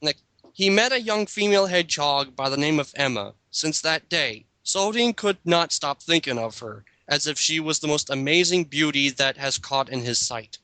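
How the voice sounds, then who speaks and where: Man, slightly high pitch, bright tone, dry close sound, mock dramatic reading, casual banter, stumbles on long words. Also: animated, normal speed, clear, resonant tone, dry recording, low-quality recording. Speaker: reader in a group dramatic reading.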